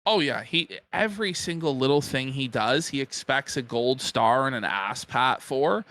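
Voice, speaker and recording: A man's voice: medium pitch, expressive, normal speed, fairly bright tone, no room sound. A man co-hosting a commentary show, sarcastically mocking a streamer and swearing freely. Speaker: co-host.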